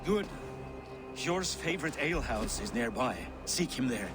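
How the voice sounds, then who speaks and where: Dude doing a sneaky bossy viking chief, swings his pitch a lot. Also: expressive, unhurried, loud music behind the voice, lightly acted. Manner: conspiratorial, commanding. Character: cunning, secretive Viking war chieftain.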